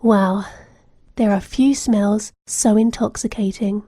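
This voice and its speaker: A woman narrating a guided meditation in a soothing, gentle manner, giving measured instructions with soft phrase endings. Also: mid-range voice, steady tone, no room sound, clean studio sound.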